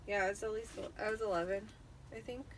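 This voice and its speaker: A woman's voice: medium pitch, fairly even intonation, fast. A tipsy woman in her thirties chatting casually as she hosts her own book reading. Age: 30s. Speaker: host reading a book aloud. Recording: clearly noisy room ambience.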